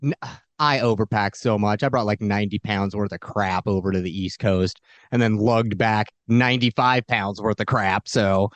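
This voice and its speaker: A man's voice: on the low side, steady tone, normal speed, dry recording. A man co-hosting a casual, chatty interview with a guest.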